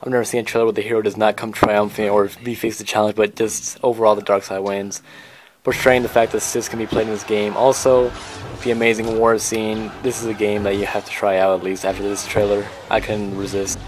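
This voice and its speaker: Low-pitched man hosting a casual countdown. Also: level tone, brisk, noisy background. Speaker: host of a video game countdown. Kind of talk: casual video countdown commentary.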